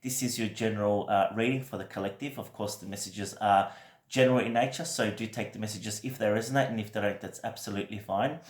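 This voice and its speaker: A man with a croaky voice from a recent cold, giving a gentle, reassuring weekly tarot reading with many fillers. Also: fairly low pitch, fairly even intonation, fast, reverberant. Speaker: tarot reader.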